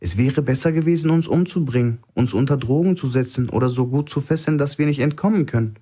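A man's voice: medium pitch, fast, warm tone, voice pushed up, close to an everyday voice. A man voicing a shrewd, defiant male hostage in a thriller audiobook, insistently reasoning to provoke his captor. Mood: pressing, provoking. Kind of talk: audiobook character acting.

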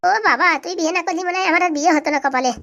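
A woman's voice: very high voice, very fast, fairly bright tone, dull audio. A woman voicing a mocking, quarrelsome village wife in a comic cartoon. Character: gloating, sharp-tongued village wife and doting mother.

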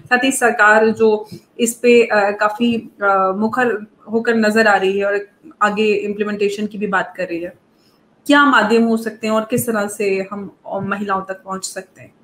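A woman from India hosting an online interview, asking measured questions with hesitant pauses. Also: medium pitch, steady tone, a bit bright, slight background noise, low-quality recording. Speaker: interviewer host. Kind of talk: online video-call interview. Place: indoor room, video call. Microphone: video call.

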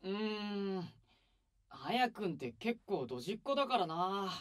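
A man playing an excitable, earnest young male idol in a voice drama, with a boyish, eager delivery. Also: high voice, measured pace. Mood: worried. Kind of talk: Japanese voice drama acting.

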